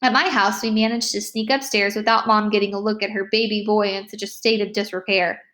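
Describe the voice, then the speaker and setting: A woman reading a children's novel aloud as an expressive first-person storyteller. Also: mid-range voice, fairly quick. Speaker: read-aloud narrator.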